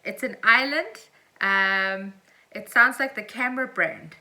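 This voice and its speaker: A woman's voice: medium pitch, unhurried, bright, forceful tone. A woman with a South African accent chatting casually and playfully as a podcast co-host. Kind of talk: casual chat. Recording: roomy echo with faint noise.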